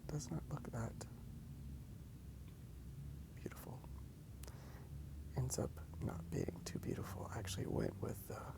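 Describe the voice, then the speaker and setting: A man rambling softly in a breathy ASMR whisper, unhurried and halting as he comments on his drawing. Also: medium pitch, huge pitch swings, poor audio. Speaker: host of a drawing ASMR channel.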